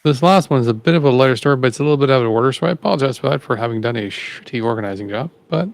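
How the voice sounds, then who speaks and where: Gravelly laid-back man host. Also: medium pitch, animated, very fast. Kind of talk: casual podcast chat.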